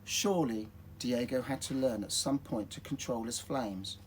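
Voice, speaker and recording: A man reading a children's story aloud in an expressive, dramatic storytelling manner. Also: mid-range voice, moderate pace, a little hiss or hum.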